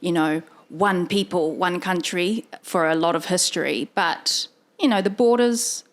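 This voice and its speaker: Chatty woman podcast host. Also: on the low side. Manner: lively and chatty, with quick asides. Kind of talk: casual interview chat.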